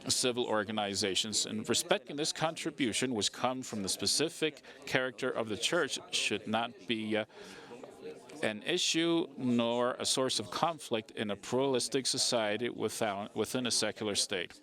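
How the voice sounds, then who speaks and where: Male simultaneous interpreter, conference speech, halting delivery, many fillers and restarts, mid-range pitch. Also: sharp tone.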